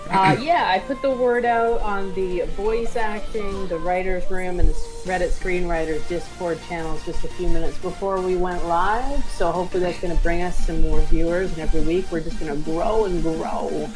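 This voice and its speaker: A woman's voice: lowish voice, steady tone, normal speed, few pauses, low-quality recording. A woman chatting casually and jokily as co-host of a live table read stream. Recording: music playing behind the voice. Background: music.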